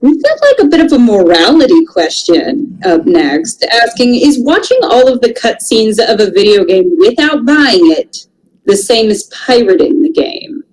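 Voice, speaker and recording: A woman's voice: on the high side, sing-song, normal speed, rough recording. A woman co-host chatting casually and giving her opinions on a panel show.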